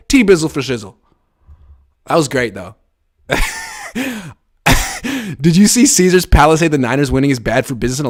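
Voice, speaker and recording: A young male host running a fan call-in show, talking in a fairly high voice that swings up and down constantly. He riffs with an animated, joking, profane edge. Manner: animated, profane, jokey riffing.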